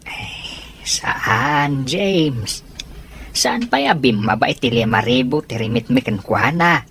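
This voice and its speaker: A woman playing a kindly older male boss in an audio drama, with a measured, sympathetic manner. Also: rumbling low, animated, a bit bright, slight background noise, full character voice. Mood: kindly, apologetic.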